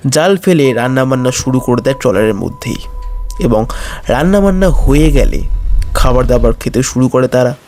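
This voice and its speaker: A man's voice: mid-range voice, lively intonation, moderate pace, darkish tone. A man narrating a scripted horror story in a suspenseful style. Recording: music bed under voice. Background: music.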